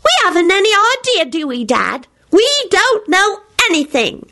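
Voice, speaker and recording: A woman voicing an excitable young boy in a story reading, loud and pleading. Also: extremely high pitch, moderate pace, voice pushed up, exaggerated voice. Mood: loud, defensive.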